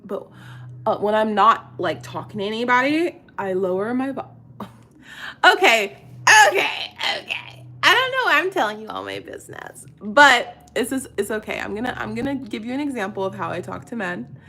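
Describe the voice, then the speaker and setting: A woman's voice: a little high, expressive, normal speed, pushed and bright, a little hiss or hum. A middle-aged woman chatting casually and confidingly in a get-ready-with-me vlog, full of fillers and restarts. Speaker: vlogger.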